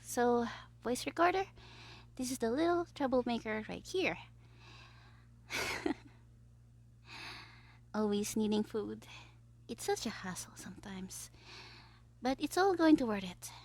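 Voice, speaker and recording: A woman's voice: a little high, animated, slow, long pauses, full character voice. A woman playing a mischievous, lovestruck young villain in an audio roleplay, scheming theatrically in a monologue. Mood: fond, scheming. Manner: gleeful, swinging between exclamations and hushed asides.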